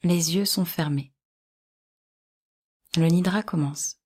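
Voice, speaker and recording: A woman guiding a yoga nidra relaxation in calm, measured short instructions. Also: fairly low pitch. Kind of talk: guided relaxation meditation.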